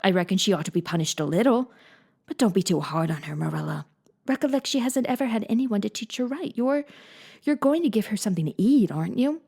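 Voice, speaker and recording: A woman voicing a shy, gentle older male farmer who speaks hesitantly and uneasily. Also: medium pitch, lively intonation, a little fast, vocal fry, slightly rough. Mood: uneasy.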